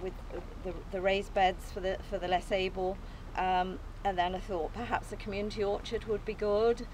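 A woman's voice: mid-range voice, little pitch movement, normal speed, darkish tone. Older lady reading her speech outside, bit hesitant, some faint noise, camera far off. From England. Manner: earnest and modest, with small hesitations and light laughs. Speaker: allotment group founder giving an opening speech. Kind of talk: prepared speech read from notes. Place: outdoors on an open field site. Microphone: camera at a distance, no mic.

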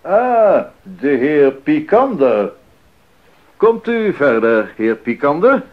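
A man's voice: fairly high pitch, big pitch swings, takes it slowly. An actor playing an earnest, devout male composer in a 1980s radio drama, speaking in a formal, emphatic way. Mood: hearty, welcoming. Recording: slightly dull 1980s radio recording.

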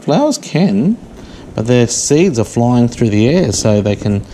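A woman with a very deep voice reads a children's storybook at an unhurried pace. Her delivery is measured but lively in intonation, slipping into casual questions for a small child. It is a home camera recording with some room noise. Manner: measured storybook phrasing, asking simple questions. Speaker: parent reading aloud to a small child. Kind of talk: picture-book reading mixed with casual chat to a child. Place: living room indoors.

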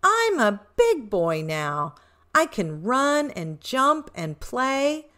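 A middle-aged woman hosting a children's show, reading aloud expressively and deliberately in a sing-song way for toddlers. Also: high voice, big pitch swings, sharp tone.

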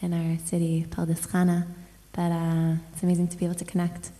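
A woman's voice: lowish voice, monotone, a little fast, mellow. A singer-songwriter woman casually and cheerfully introducing a song from her home studio. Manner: casual and upbeat.

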